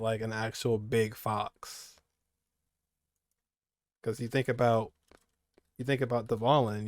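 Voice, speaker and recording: A man's voice: medium pitch, dry recording, clean studio sound. A young man giving casual, rambling reaction commentary as a gaming streamer, repeating phrases for emphasis.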